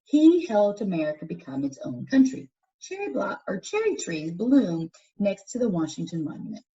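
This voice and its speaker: Mellow, expressive woman reading aloud, distant mic. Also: mid-range voice, normal speed. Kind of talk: educational read-aloud of a textbook for children. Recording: dull, distant microphone pickup.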